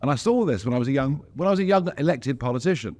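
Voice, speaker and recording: A man's voice: medium pitch, animated, rapid-fire, a little mellow. A man being interviewed as a politician, speaking in an emphatic, outspoken way with wry asides. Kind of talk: casual interview talk.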